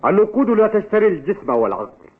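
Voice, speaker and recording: A stern, high-pitched cartoon coach. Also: male voice, sing-song, quick pace. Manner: commanding, barking orders. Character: stern, demanding sports coach, gruffly principled man. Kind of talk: dubbed cartoon acting in formal Arabic. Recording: tinny, noisy old TV dub.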